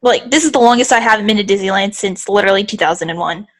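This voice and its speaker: A woman's voice: mid-range voice, quick pace. A young adult woman co-hosting a podcast with a slightly nasal, congested voice, chatting casually and often breaking off to restart her sentences. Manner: rambling, self-interrupting. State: congested from allergies. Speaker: podcast co-host. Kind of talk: casual chat.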